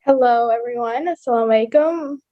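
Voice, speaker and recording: A woman's voice: on the high side, brisk. A woman raised in Somalia introducing herself on a video call panel, hesitant and searching for words. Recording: dull, lo-fi call audio.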